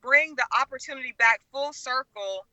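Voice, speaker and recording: A woman's voice: high-pitched, moderate pace, pushed and bright, no echo, muffled recording. A middle-aged American woman from Oklahoma, telling personal stories conversationally as a guest in an interview. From Oklahoma, United States. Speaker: talk show guest, media personality and relationship expert.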